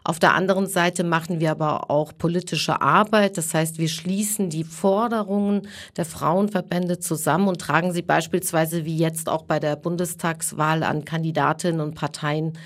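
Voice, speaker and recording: A woman in her forties, raised in Germany, talking earnestly as an interview guest, with frequent pauses to think. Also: low-pitched, steady tone. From Iran, raised in Germany. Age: 40s. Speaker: guest, women's network chair.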